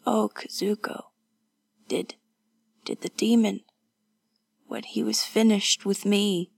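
A woman voicing a battered, distressed young woman in a hoarse, faint, halting whisper for an audiobook. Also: mid-range voice, steady tone, dry recording, full character voice. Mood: anxious, faint. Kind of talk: audiobook character voice.

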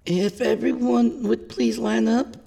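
A man's voice: very high-pitched, expressive, slow pace, gravelly, a little mellow, husky, no room sound, pitched above the performer's own voice, exaggerated voice. A man voicing a timid young woman, a kitchen apprentice, in a story reading. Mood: shaky, dutiful.

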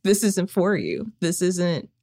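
Low, monotone woman co-host. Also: takes it slowly. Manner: monotone, flat delivery. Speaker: podcast co-host. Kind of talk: casual chat.

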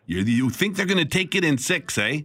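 Animated, bright-voiced male radio host. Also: on the high side. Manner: animated, chatty, quick laughs. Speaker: radio call-in show host. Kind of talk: casual call-in radio chat.

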